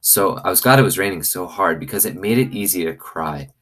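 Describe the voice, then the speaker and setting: Low-voiced man reading a story aloud. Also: animated, a little fast, a bit bright. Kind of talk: expressive storybook reading with commentary.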